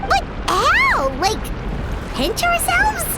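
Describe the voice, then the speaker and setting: A woman voicing a tiny, excitable, childlike fairy companion in a video game, squeaky and exclamatory, with loud game effects behind her. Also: very slow, heavy background noise, heavily acted. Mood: alarmed, confused.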